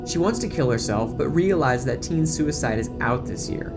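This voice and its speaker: A man's voice: mid-range voice, animated, normal speed, close to an everyday voice. A man voicing a catty, cruel teenage girl gossip in an audio drama, snide and dismissive, with music behind.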